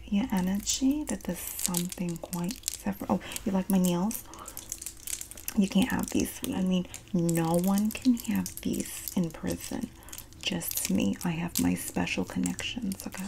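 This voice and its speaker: A young woman acting a sassy, streetwise female inmate who comforts her bunkmate in a breathy whispered ASMR roleplay. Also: medium pitch, darkish tone, rough recording. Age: young adult. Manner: sassy, streetwise slang, drawn-out terms of endearment. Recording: close mic with clear background noise.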